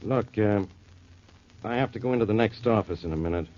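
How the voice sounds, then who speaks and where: A man's voice: fairly low pitch, brisk, a little mellow, close to an everyday voice. An American man playing a stubborn, exasperated police official with a blustering, stern manner in 1940s radio drama. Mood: stern warning. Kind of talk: 1940s radio detective acting. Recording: dull 1940s radio broadcast recording.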